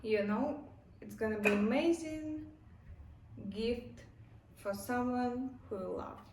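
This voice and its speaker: A non-native English-speaking woman giving a casual unboxing and product review in short, halting phrases. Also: on the high side, lively intonation, a little mellow. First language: not English. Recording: echoey room, distant mic, faint noise.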